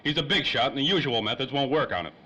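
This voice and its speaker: A man playing a bright-voiced hard-boiled private eye. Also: mid-range voice, fast talker, slightly rough. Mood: weary, pressing. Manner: slangy, wry tough-guy talk. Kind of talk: old-time radio drama acting. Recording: faintly noisy old-time radio recording.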